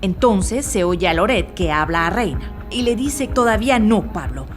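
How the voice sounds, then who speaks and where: An actress playing a determined, principled foreign investigative reporter in an audio drama, with an assertive, earnest delivery. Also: female voice, medium pitch, lively intonation, normal speed, faint music behind, lightly acted. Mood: urgent. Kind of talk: Spanish audio drama acting.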